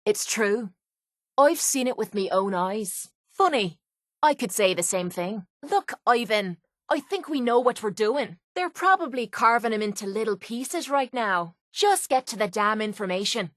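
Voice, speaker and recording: A woman's voice: on the high side, expressive, unhurried, a bit bright, no room sound, clean studio sound. A woman playing a cynical, defiant young female brawler in a video game, with an Irish accent and a blunt, sardonic, slangy delivery. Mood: impatient, mocking. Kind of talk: video game character dialogue.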